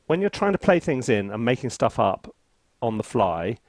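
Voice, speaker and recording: A man's voice: mid-range voice, very animated, normal speed, darkish tone. A man giving a casual tutorial commentary as a composer at work, thinking aloud in a playful, self-deprecating way. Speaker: composer reviewing a sample library.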